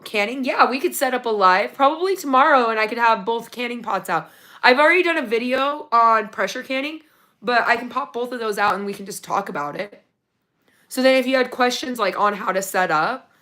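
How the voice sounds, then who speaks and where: A woman hosting a casual livestream from her phone, reading viewer comments, her voice going raspy from talking so long. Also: fairly high pitch. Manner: chatty, excited when thanking viewers for gifts. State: losing her voice, getting raspy. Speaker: livestream host.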